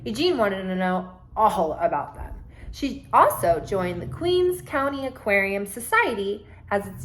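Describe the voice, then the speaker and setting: A woman teacher reading a storybook aloud to children in a deliberate, instructive, expressive way and explaining vocabulary as she goes. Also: on the high side, slight background noise.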